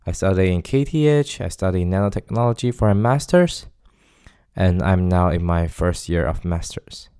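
A man in his twenties from Taiwan, with a Taiwanese accent and Mandarin Chinese as his first language, hosting a casual solo podcast and pausing often to search for words. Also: low voice, animated, warm tone, smooth. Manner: hesitant, searches for words with many pauses. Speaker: podcast host.

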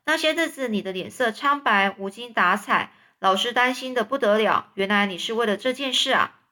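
A woman reading a storybook voices a normally stern male schoolteacher who speaks gently and fondly to his pupil. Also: fairly high pitch, normal speed. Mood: gentle.

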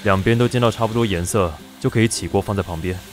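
A man's voice: lowish voice, fast talker, faint music behind, natural voice. A man with a Taiwanese Mandarin accent playing a mischievous, flirtatious pastry chef and doting lover in an audio drama. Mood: calm instructing. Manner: smooth, flirtatious, teasing.

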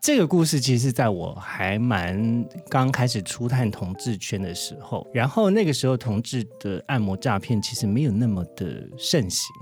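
A man's voice: medium pitch, big pitch swings, normal speed, few pauses, darkish tone, soft background music. A Taiwanese Mandarin-speaking man as a podcast guest, chatting bluntly and dramatically and mixing in English words. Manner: blunt, dramatic, gives vivid examples. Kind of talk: animated interview chat.

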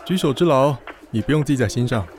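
A man's voice: medium pitch, lively intonation, fast, darkish tone, soft background music, lightly acted. An actor playing a composed, demanding male company boss in a radio drama, speaking curtly with authority. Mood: restrained concern. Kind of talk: Mandarin radio drama acting.

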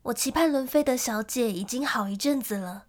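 An actress playing a lonely young gentlewoman who tells of a frightening childhood in an emotive radio drama style. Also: on the high side, a little fast, natural voice. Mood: melancholy. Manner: storytelling, emotive.

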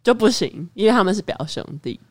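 A woman's voice: mid-range voice, lively intonation, quick pace, no room sound, clean studio sound. A woman with a Taiwanese Mandarin accent chatting casually as a podcast co-host.

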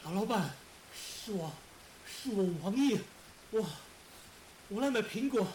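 A woman's voice: mid-range voice, animated, a little slow, a little mellow, slight background noise, close to an everyday voice. A woman in an audio drama playing a desperate businessman who stammers and begs breathlessly. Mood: anxious pleading. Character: desperate, pleading businessman, a husband and father.

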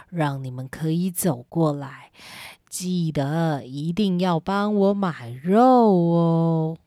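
Kindly old magic woman for a kids' audio drama, low and unhurried, voiced by a woman. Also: warm tone, no room sound, studio quality. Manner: slow, low, drawn-out. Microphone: simple home recording setup.

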